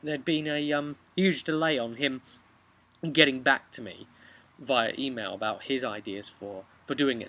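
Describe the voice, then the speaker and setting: A young man telling a casual, halting recap of a tabletop game to camera as the game master, speaking outdoors. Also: medium pitch, fairly bright tone. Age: young adult. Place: outdoors on a garden bench by a brick house.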